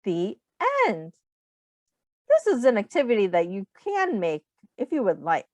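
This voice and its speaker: Female early-years teacher for picture book narration: unhurried, expressive, question-led delivery, close dry sound. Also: medium pitch, no echo. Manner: deliberate, expressive, pausing and prompting young listeners with questions. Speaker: teacher reading aloud to young children. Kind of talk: children's picture book read-aloud with questions to listeners.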